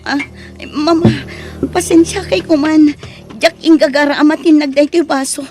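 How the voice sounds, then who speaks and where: A woman's voice: sits high, fairly even intonation, moderate pace, music in the background, lightly acted. A woman with an Ilocano accent playing an apologetic, put-upon young wife in an audio drama, plaintive in manner. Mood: anxious.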